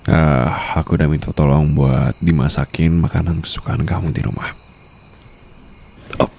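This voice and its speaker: A man with an Indonesian accent acting a smitten, protective mafia boss in an intimate ASMR boyfriend roleplay, murmuring softly and teasingly. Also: very deep voice, steady tone, moderate pace, creaky. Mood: tender, teasing. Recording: faint night-time insect ambience noise.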